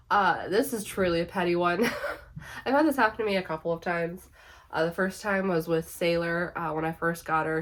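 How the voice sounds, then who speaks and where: A young woman chatting casually to camera about her pets, a little hoarse from being sick, laughing often and filling with "uh" and "like". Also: fairly low pitch. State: slightly hoarse from illness. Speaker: pet vlogger.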